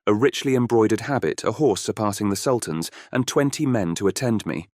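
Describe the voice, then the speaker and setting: A man's voice: fairly low pitch, lively intonation, brisk, a little mellow, dry recording, studio quality, natural voice. A man acting a bold, confident young fairy-tale hero in a storybook audiobook, with a courtly, commanding delivery.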